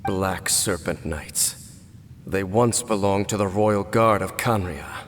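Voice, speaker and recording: A man playing a stoic, weary, centuries-old cursed knight in a video game, speaking in a solemn, measured and formal way. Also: deep voice, background noise. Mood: terse, alert. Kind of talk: video game cutscene acting. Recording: long reverb, music behind.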